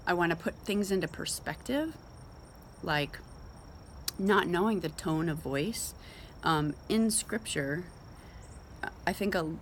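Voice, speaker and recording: A woman's voice: on the low side, fairly bright tone, slight background noise. A woman giving an informal devotional talk in a conversational, emphatic way, as host of a Bible reading show. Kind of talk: casual devotional talk with scripture read aloud.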